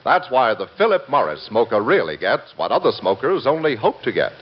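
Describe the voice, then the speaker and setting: A man's voice: medium pitch, big pitch swings, fairly quick, slightly rough. A man announcing a scripted commercial in old-time radio style. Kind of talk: scripted 1940s-50s radio advertisement. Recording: muffled vintage radio recording.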